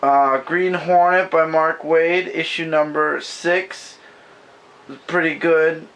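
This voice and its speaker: A hoarse man with a gravelly, fry-laden voice sitting a little high, talking loosely about comics from behind a home camera. He rambles and corrects himself as he goes, recorded casually in a bedroom. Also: steady tone, slow, a bit bright. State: losing his voice, hoarse. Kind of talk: casual comic book review chat. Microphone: camera mic, speaking from behind the camera.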